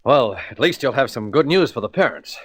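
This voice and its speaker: A man's voice: mid-range voice, animated, brisk, husky, no room sound. A man playing a conscientious, good-natured space adventurer in a radio serial, speaking in an informal, affable way. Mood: earnest questioning. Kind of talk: vintage science-fiction radio serial acting.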